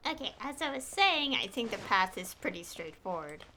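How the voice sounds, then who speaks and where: Lively woman streamer thinking aloud. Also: mid-range voice, moderate pace, a little hiss or hum. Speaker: game streamer. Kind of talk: casual live gameplay commentary. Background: game music.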